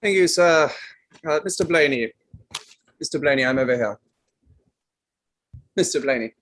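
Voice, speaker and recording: Fairly high-voiced actor as a formal, courteous barrister. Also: male voice, lively intonation, moderate pace, fairly bright tone. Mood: patient, formal. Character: courteous male barrister leading his own witness. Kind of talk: table read of a courtroom play.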